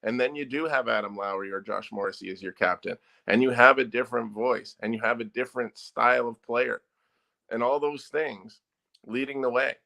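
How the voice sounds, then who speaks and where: A man who is a newspaper sports editor, guesting on a sports talk show. His voice is bright and forceful, and he states his opinions firmly and emphatically. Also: medium pitch, moderate pace, creaky.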